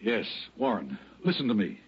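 A man playing an earnest, anxious gentleman in a 1960s radio drama, speaking in an agitated, halting way. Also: fairly low pitch, big pitch swings, husky, full character voice. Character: anxious, earnest wronged gentleman, pleading innocence. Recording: muffled old radio broadcast.

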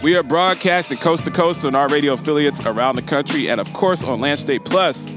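A man's voice: fairly high pitch, fairly quick, music in the background. A man hosting a casual talk radio show, often repeating and restarting phrases for emphasis.

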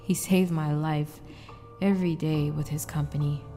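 A woman's voice: sits low, fairly even intonation, moderate pace, warm tone, soft background music, natural voice. A woman playing an anguished wife trapped in frozen time, in an emotional audio drama with narration and dialogue. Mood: somber reflective.

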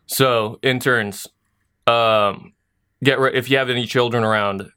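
A man's voice: mid-range voice, fairly even intonation, a little slow, pushed and bright, smooth. Laid-back young man telling a story. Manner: loose, joking storyteller who laughs often. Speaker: podcast co-host. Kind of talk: casual podcast chat.

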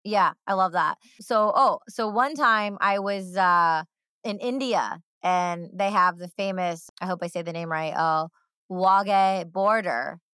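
Bright, crisp-voiced enthusiastic woman host. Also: on the low side, a little slow, no echo, clean studio sound. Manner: enthusiastic, emphatic, rambling. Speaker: podcast host. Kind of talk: casual interview chat.

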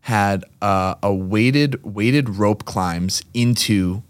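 A man's voice: on the low side, extremely slow, clean tone, no echo, clean studio sound. A man, a podcast guest telling stories in a chatty, joking way. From Massachusetts. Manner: chatty storyteller, joking asides. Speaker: podcast guest, CrossFit gym owner. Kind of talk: casual chat.

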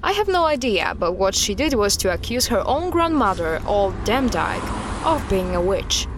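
A woman playing an earnest, guarded expert recounting dark history in an audio drama styled as a recorded interview, with a measured, serious delivery. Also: medium pitch, sing-song, noisy background. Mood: measured storytelling.

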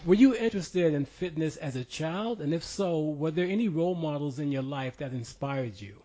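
A man hosts an interview podcast, reading scripted introductions and asking easy, friendly questions. His voice is mellow and dark in tone. The recording sounds dull and lo-fi. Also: on the high side, expressive, moderate pace. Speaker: podcast host. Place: New York. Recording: dull lo-fi audio.

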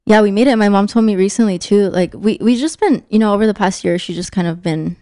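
A woman's voice: medium pitch, quick pace, dark tone, no echo, studio quality. A young American woman, bilingual in Spanish and English, telling personal stories candidly and reflectively in casual conversation. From Phoenix, United States; born in Mexico. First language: bilingual native Spanish and English. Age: young adult. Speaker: interview guest.

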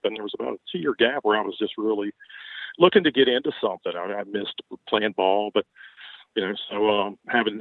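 A man's voice: lowish voice, dry recording. An older man from Baltimore with a light Southern accent, owner of a ghost tour business, talking in a folksy, rambling way with frequent chuckles. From Baltimore, Maryland. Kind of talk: podcast interview over a call. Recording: tinny phone-like line.

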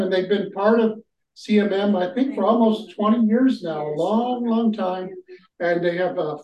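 A man's voice: high voice, darkish tone. A man leading a prayer and introducing a guest as host of a ministry gathering, fervent and prayerful. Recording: echoey, muffled, distant mic.

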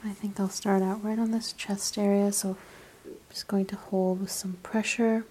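A breathy woman with a soft, mellow voice guides a relaxation session in ASMR style. Her delivery is very even and gentle, often slipping into a whisper. She sounds soothing and unhurried throughout. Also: medium pitch. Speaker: ASMR practitioner and show host.

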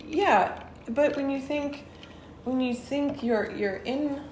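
Woman co-host, higher pitch, chatty and encouraging, roomy echo on the recording. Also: slow, darkish tone. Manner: supportive listener, short reactions and laughs. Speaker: podcast co-host. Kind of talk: casual chat. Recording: echoey room with faint noise.

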